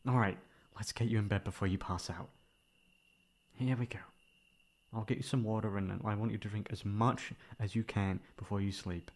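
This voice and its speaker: Man acting a low-voiced, sweet teasing boyfriend. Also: steady tone, moderate pace. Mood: fond teasing. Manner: tender, playfully exasperated. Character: sweet teasing boyfriend caring for tipsy girlfriend. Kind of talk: intimate ASMR boyfriend roleplay.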